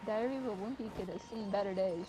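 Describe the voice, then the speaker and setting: A woman's voice: mid-range voice, fast, warm tone. A young woman chatting casually while playing a game, heard through a poor-quality mic. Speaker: gamer playing and reacting. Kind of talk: casual chat while gaming. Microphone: low-quality gaming voice chat.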